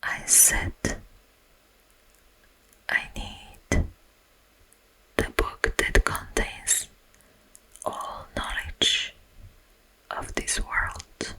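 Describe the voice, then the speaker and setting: A woman whispering as a sleep paralysis demon in an ASMR roleplay, softly menacing yet soothing, telling an eerie story in hushed, drawn-out phrases. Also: exaggerated voice, rough recording. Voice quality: breathy, unvoiced whisper. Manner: slow, hushed, drawn-out phrasing with long pauses. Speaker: ASMR performer.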